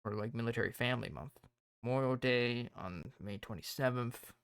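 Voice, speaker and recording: Croaky-voiced man thinking aloud. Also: mid-range voice, normal speed, no room sound, clean studio sound. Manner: rambling, thinking aloud while planning. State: hoarse, tired voice. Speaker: streamer. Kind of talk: casual livestream chat.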